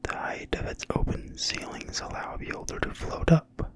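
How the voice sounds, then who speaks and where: A narrator reading from a book entirely in a quiet, breathy whisper, slowly and deliberately, in ASMR style. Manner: slow, deliberate, hushed reading with pauses. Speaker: narrator reading from a fantasy game manual.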